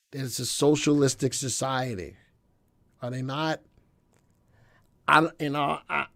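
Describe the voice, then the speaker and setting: A man's voice: mid-range voice, gravelly, a bit bright, no room sound, studio quality. A man hosting a live market show, losing his voice, chatting in a folksy, rambling way and reading out viewer comments. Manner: folksy, rambling, thinks aloud. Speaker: market analysis show host.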